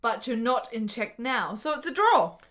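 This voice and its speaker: An intense period-drama lady, high bright voice, theatrical, takes her time. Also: very animated, normal speed. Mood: indignant. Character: intense, sickly, sharp-witted woman of 1870s Italy. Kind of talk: radio play dialogue.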